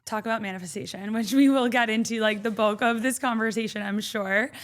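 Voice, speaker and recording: A young woman hosting a podcast interview, chatty and lively with quick asides. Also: on the high side. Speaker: podcast host.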